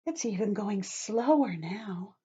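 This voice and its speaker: A woman teacher leading young children through a calming drawing activity, speaking gently and encouragingly straight to them. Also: medium pitch, slow pace, husky. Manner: gentle, encouraging, talks directly to kids. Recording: dull lo-fi home video sound.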